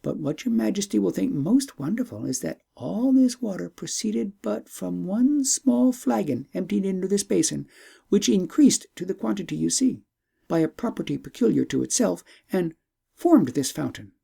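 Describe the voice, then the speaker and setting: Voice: mid-range voice, sing-song, normal speed, gravelly, dark tone, breathy edge, pitched above the performer's own voice, exaggerated voice. A storyteller voicing a poised young princess, respectful and proud, with polite, formal speech in an audiobook tale. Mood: courteous, proud.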